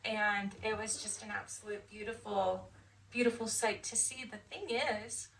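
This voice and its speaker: A woman's voice: medium pitch, steady tone, unhurried, gravelly, a bit bright. A woman in her fifties chatting casually as she recalls her past in an interview. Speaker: veteran being interviewed. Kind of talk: casual recollection. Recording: distant mic with faint background noise.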